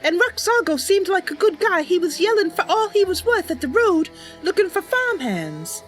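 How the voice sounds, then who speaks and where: A woman's voice: very high voice, sing-song, normal speed, faint music behind. A bubbly, chatty woman livestreamer talking casually and improvising roleplay as she plays a game. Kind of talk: casual live stream chat with improvised roleplay.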